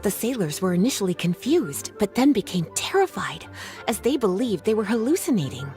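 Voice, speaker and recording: A woman playing a poised, teasing, scholarly young librarian in a video game, coy, measured and articulate. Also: mid-range voice, very animated, darkish tone, close to an everyday voice. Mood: mysterious storytelling. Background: music.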